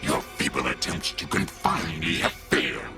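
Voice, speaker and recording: An actor playing a wrathful, boastful evil spirit in a video game, with a gravelly, grandiose villain delivery. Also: male voice, very deep voice, fairly even intonation, moderate pace, sharp tone. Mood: menacing. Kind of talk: animated game villain acting. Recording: music behind the voice.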